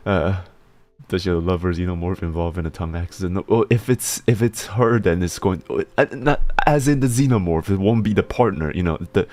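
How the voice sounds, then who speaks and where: Male streamer, very low pitch, rambling and self-deprecating, slightly slurred speech, soft music underneath. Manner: rambling, self-deprecating, laughs often, reads chat aloud. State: slightly slurred speech from a healing tongue injury. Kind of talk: casual chat stream.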